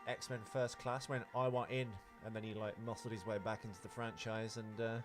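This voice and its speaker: A man's voice: lowish voice, level tone, moderate pace, music in the background. A man co-hosting a podcast, chatting casually about films in a rambling, jokey way. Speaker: podcast co-host. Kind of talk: casual film chat.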